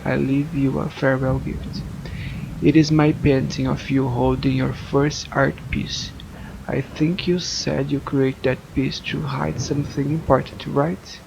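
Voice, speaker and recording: A man playing a young man in a horror video game, reading notes and musing to himself in a deliberate way. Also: mid-range voice, creaky, background noise.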